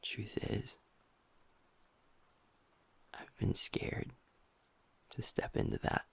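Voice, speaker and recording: A man voicing a gentle young werewolf boyfriend in an intimate audio roleplay. He talks softly and close to the mic, often half-whispering, and pauses and restarts as he confides. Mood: vulnerable, confiding. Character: gentle young male werewolf boyfriend, usually upbeat, now downcast.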